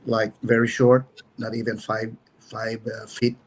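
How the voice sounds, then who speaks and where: A man's voice: on the low side, monotone, measured pace, a little hiss or hum. A married man chatting casually and jokingly, rambling a little with fillers. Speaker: guest, businessman. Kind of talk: casual livestream chat.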